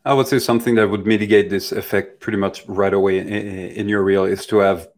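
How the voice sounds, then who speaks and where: A man with a fairly low voice answers questions informally as an expert. His intonation stays flat and even. He sounds thoughtful, pausing as he works out each point. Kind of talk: casual unscripted Q&A answers.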